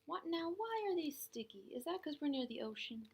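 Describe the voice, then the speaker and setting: This is a young woman hosting a casual tarot reading livestream. Her voice sits slightly high and has a gravelly fry to it. She talks fast and chatty, with frequent laughs and greetings to her viewers. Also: very animated.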